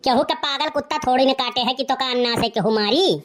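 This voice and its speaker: A woman voicing a sharp-tongued, exasperated village mother in a comic cartoon, scolding sarcastically with an Awadhi accent. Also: high voice, a little fast, lightly acted.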